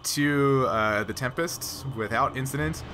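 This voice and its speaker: A man's voice: mid-range voice, measured pace, bright, forceful tone. A man narrating as game master of a tabletop roleplaying game, in casual talk. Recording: noisy group recording, room ambience.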